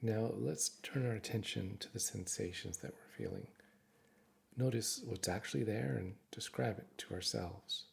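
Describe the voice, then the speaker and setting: A man narrating a guided meditation in a gentle, reassuring, instructive manner. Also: lowish voice, big pitch swings, normal speed, creaky.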